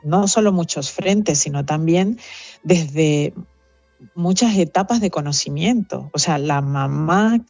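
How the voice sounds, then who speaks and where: A middle-aged woman with a Venezuelan accent, speaking thoughtfully as an interview guest, pausing with fillers as she reflects. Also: low voice, creaky, darkish tone, no room sound, lo-fi sound, soft background music. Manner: reflective, earnest, with fillers and restarts. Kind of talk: conversational interview.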